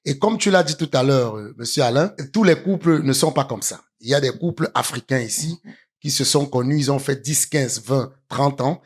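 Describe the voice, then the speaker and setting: A man from Cameroon, a guest on a studio panel, speaking in a measured way with frequent hesitations. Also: medium pitch, husky. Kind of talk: panel discussion. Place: studio set.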